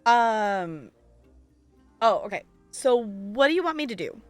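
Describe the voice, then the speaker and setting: A woman's voice: mid-range voice, sing-song, a little slow, dry recording. A woman chatting casually as she live streams a game, rambling in a playful, self-deprecating way. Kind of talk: casual gaming stream chat. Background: music.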